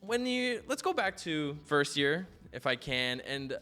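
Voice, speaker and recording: A man's voice: a little high, big pitch swings, a little slow, sharp tone, faint music behind. A man interviewing an art student, upbeat and affirming, laughing often. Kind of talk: casual interview.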